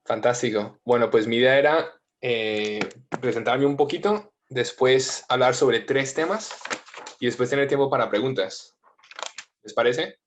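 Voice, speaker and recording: A priest in his thirties with a mixed Latin American and Spanish accent, praying and then talking gently and informally over a video call. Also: medium pitch, measured pace, slight background noise. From United States, family from Colombia. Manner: gentle, informal, with frequent fillers.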